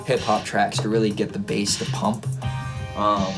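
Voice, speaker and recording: A man in his twenties talking casually and reflectively, explaining his work in a halting way, recorded in a small home room. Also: lowish voice, little pitch movement, over loud music. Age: 20s. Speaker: musician and host breaking down own song. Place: small home studio bedroom indoors.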